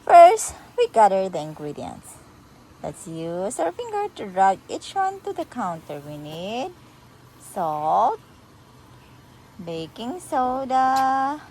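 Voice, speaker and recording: A woman reading a children's picture book aloud to a toddler in an animated, sing-song, encouraging way. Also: mid-range voice, takes it slowly, slight background noise, poor audio.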